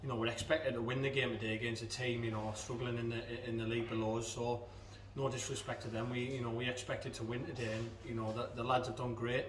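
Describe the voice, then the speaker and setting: A man in his thirties gives a post-match interview as assistant football manager, talking in an even, level tone and restarting his sentences often. His voice bounces around a roomy space, picked up from a distance. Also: medium pitch, fast, hardly any pauses, background noise. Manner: hesitant, many fillers and restarts. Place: indoors in front of a club sponsor backdrop. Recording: echoey room, mic at a distance.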